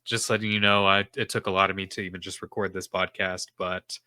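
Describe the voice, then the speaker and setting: Young guy podcasting solo, low voice but bright and forceful, stuffy nose, rambles w lots of uh's, dry close mic. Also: level tone, a little fast, a little hiss or hum. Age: young adult. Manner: earnest, rambling, with frequent fillers. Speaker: podcast host and self-esteem coach. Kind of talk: casual solo podcast monologue. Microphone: podcast microphone.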